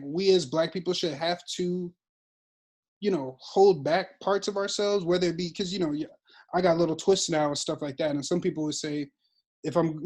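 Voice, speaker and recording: A man talking casually and reflectively, feeling his way toward questions as he goes. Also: on the high side. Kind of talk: casual conversation.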